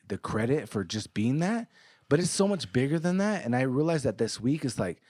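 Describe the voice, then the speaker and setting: A man's voice: medium pitch, no room sound, studio quality. A man in his thirties, co-hosting a podcast, talking bluntly and earnestly with frequent swearing. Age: 30s. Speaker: podcast co-host. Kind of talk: casual podcast chat.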